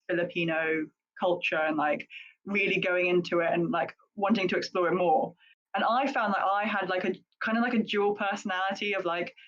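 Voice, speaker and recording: A woman's voice: mid-range voice, fairly bright tone. Woman co-host, rambling, filler-heavy chat, slightly dull audio. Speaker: podcast co-host. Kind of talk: casual panel chat.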